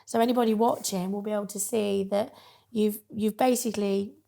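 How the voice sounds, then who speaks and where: A woman with a croaky voice interviewing a friend in a small studio, friendly and rambling with many ums. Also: mid-range voice, steady tone, a little fast, warm tone. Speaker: interviewer, podcaster. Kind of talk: casual interview chat.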